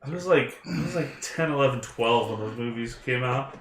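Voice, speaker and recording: Thirties male, average pitch, wry teasing banter, echoey room. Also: a little hiss or hum. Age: 30s. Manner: wry, joking, quick to tease. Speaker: tabletop game player. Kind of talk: casual pre-game banter.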